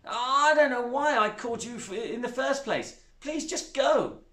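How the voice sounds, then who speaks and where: A man's voice: extremely high pitch, expressive, a little slow, fairly bright tone, pitched above the performer's own voice, full character voice. A man reading a storybook and voicing an upset, defiant little girl. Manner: tearful, pleading, stubborn. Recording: roomy echo.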